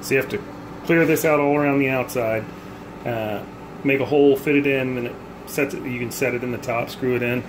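A man's voice: medium pitch, steady tone, brisk, noisy background. A man in his thirties casually demonstrating a homemade gadget in his kitchen, thinking aloud with plenty of ums and uhs. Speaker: bowling hobbyist vlogger. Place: home kitchen, indoors. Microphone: phone held at arm's length.

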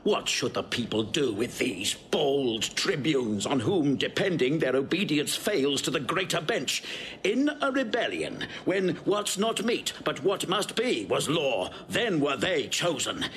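Proud Roman warrior, classical declamation, contemptuous, swooping delivery, slow pace, faint background noise. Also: male voice, fairly high pitch, sing-song, a bit bright. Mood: enraged. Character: proud, scornful Roman warrior nobleman, furious at commoners. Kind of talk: classical Shakespearean stage acting. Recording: restored old recording, audible background noise.

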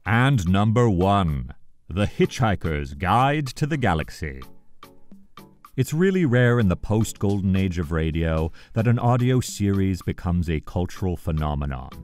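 A man's voice: sits low, big pitch swings, measured pace, darkish tone. A man hosting a scripted video countdown, narrating with enthusiasm and emphatic phrasing. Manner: enthusiastic, emphatic list-reading. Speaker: video host. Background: background music.

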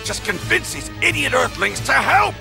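An actor playing a proud, hot-tempered alien warrior prince in an anime fighting game, gruff and haughty in delivery. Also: male voice, very high-pitched, very animated, normal speed, over music, full character voice. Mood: furious, straining. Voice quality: gruff, gravelly. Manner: haughty, forceful. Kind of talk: anime game battle acting.

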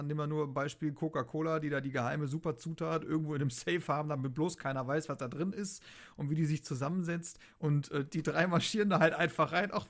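A man on a podcast panel, chatting casually in a loose, jovial way. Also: fairly high pitch. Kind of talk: casual chat.

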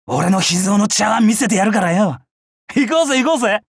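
An actor playing a brash, bloodthirsty young male samurai in a mobile game, with rough, swaggering speech. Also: sits high, animated, brisk, no room sound, clean studio sound, full character voice. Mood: boisterous, gleeful. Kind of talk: Japanese mobile game character voice lines.

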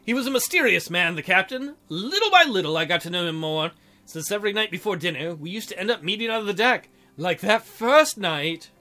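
Male let's-play narrator, bright and high, theatrical reader with joking asides, faint music underneath. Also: expressive, brisk. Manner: jokey, theatrical, breaks into silly mock voices. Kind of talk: reading a visual novel aloud with joking commentary. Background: game music.

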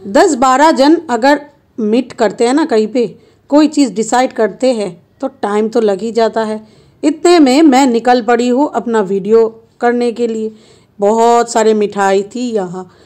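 Woman vlogger, playful chatty narration at an unhurried pace; slightly high voice. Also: mellow. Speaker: travel vlogger. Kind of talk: casual travel vlog narration. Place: car and roadside stops.

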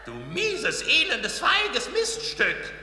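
A scheming cartoon wizard villain, swoops wildly, slow and theatrical, music behind. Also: male voice, very high voice, wildly swooping pitch, sharp tone, roomy sound, full character voice. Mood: grumbling. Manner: theatrical, gloating and cajoling. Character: scheming evil male wizard, short-tempered and fawning.

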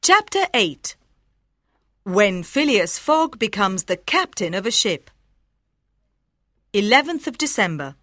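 A woman reading a scripted storybook narration in a clearly enunciated, expressive way. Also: mid-range voice, takes it slowly, pauses often, dry recording, clean studio sound. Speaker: audiobook narrator.

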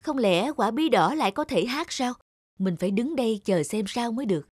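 A woman's voice: a little high, animated, brisk, background noise, natural voice. Woman as an earnest young fairy-tale prince. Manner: respectful, earnest pleading. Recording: music under the voice.

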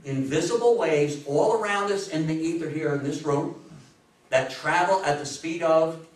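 A man in his fifties giving a history talk to an audience, emphatic and theatrical, with dramatic pauses. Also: a little high. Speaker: lecturer. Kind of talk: animated public history talk to a live audience. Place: meeting room with a seated audience, indoors. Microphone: distant camera microphone. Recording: echoey room, recorded from far off.

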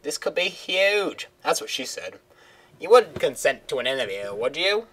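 A man voicing an eager young female TV reporter in a game, pitching his voice high and talking excitedly. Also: normal speed.

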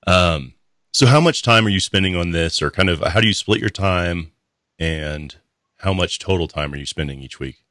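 Very deep-voiced male podcast host. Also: normal speed, dry recording. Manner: relaxed, asks rambling questions. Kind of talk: casual interview chat. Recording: dry close sound.

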